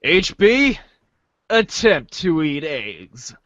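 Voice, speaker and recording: A man's voice: fairly high pitch, very animated, very slow, fairly bright tone, no room sound, highs cut off. A man reading a comic aloud informally as a podcast host, playful and sometimes stumbling over his words.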